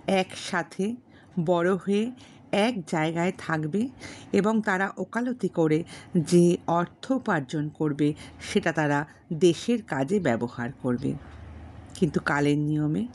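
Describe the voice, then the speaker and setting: Female audiobook storyteller, slightly low register, unhurried formal delivery with pauses between phrases. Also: a little mellow, a little hiss or hum. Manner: measured, formal, pausing between short phrases. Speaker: storyteller and channel host. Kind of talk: audiobook narration of a classic novel with spoken introduction.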